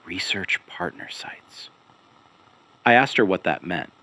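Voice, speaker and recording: Guy acting as a tired haunted investigator doing a radio drama broadcast, low voice w lots of rise and fall, grave, slow deliberate phrasing. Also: expressive, sharp tone, full character voice, rough recording. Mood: grave, measured. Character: weary, haunted male investigative broadcaster piecing together a conspiracy. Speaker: broadcast host and narrator of a fictional missing person investigation series. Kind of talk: scripted serial audio drama narration.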